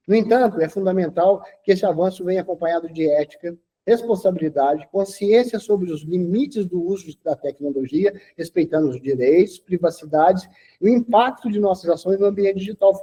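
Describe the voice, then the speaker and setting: A man's voice: high-pitched, dark tone, husky, rough recording. A man of sixty, an interview guest, talking in a lecturing way and tagging his sentences with a questioning "okay?". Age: 60s. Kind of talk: casual interview talk.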